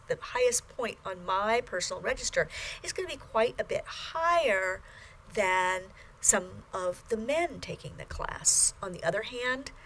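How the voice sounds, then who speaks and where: A woman's voice: fairly bright tone, poor audio. Patient older woman lecturing in a studio. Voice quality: fairly high voice. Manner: measured, patient teaching with frequent ums and self-corrections. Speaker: Chinese language instructor. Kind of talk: recorded video lecture. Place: small studio with plain backdrop.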